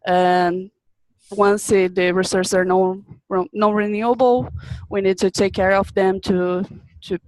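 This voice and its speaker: A young woman from Brazil, a business student, presenting a webinar in a halting way, often repeating words as she searches for them. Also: mid-range voice, fairly even intonation, darkish tone, no echo, poor audio.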